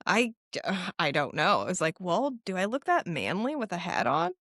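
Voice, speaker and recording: Young female co-host, lively casual storyteller, dry close-miked sound. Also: lowish voice, normal speed, no echo. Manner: animated storytelling with laughs and acted quotes. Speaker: podcast co-host. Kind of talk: casual chat.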